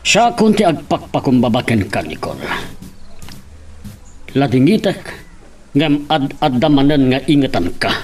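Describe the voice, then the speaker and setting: A man's voice: medium pitch, sing-song, measured pace, long pauses. A man playing a stern, protective middle-aged father in an Ilocano radio drama, with a Filipino Ilocano accent.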